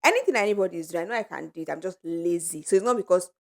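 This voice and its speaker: A woman co-hosting a casual podcast chat with friends, telling animated stories and laughing often. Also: lowish voice, rapid-fire, gravelly. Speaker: podcast co-host.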